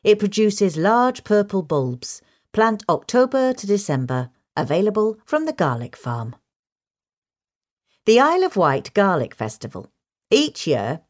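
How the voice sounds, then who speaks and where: Woman, low voice, animated intonation, scripted article narration. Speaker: article reader.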